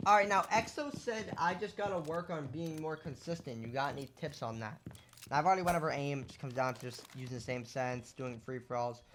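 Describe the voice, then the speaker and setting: A young man with a voice going hoarse, casually explaining shooter game tactics over gameplay and often checking in with "right?". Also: fairly high pitch, fairly quick, fairly bright tone, slight background noise. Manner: explanatory, rhetorical questions, lots of "right?". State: losing his voice. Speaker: gaming content creator. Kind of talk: casual gameplay tips commentary.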